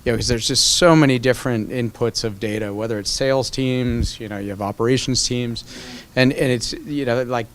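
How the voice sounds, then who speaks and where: A man's voice: mid-range voice, fairly even intonation, fairly quick, a little hiss or hum. A man in the audience asking a long, rambling question full of "um"s and "you know"s.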